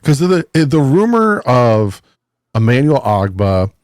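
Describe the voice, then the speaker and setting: A man talking football in a casual podcast chat, animated and exasperated, slipping into mocking voices. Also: medium pitch, unhurried, no room sound, clean studio sound. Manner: animated, mocking the front office in put-on voices. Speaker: guest fan analyst.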